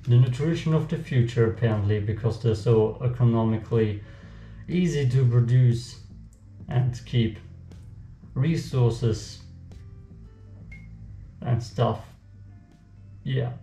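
A man's voice: medium pitch, slow, long pauses, mellow. A young man with a German accent, joking to himself as he cooks in a small home kitchen for his vlog. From German-speaking country. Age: young adult. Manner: joking, self-talk while working. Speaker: cooking vlogger. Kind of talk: casual cooking vlog. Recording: echoey kitchen, faint music.

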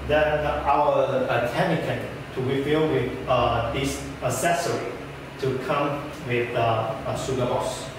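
A young man presents a product walkthrough to a room. He pauses often with "uh" fillers and uses phrasing. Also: medium pitch, fairly even intonation. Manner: halting, frequent "uh" fillers, non-native phrasing. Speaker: product trainer. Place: indoor meeting room with projector screen. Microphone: far camera. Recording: echoey room from far off, background noise.

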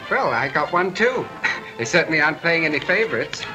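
A man's voice: on the high side, big pitch swings, fairly quick, muffled recording, over music. An actor playing a breezy, joking young male gambler in a 1930s film.

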